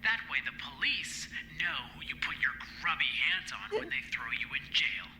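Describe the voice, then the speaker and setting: A man's voice: a little high, huge pitch swings, moderate pace, vocal fry, bright, forceful tone, soft background music, heavily acted, poor audio. A man playing a vain, indignant fashion designer held captive in an audio drama, with a pompous, snippy, dismissive manner. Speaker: voice actor in a fan audio drama. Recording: faint background noise.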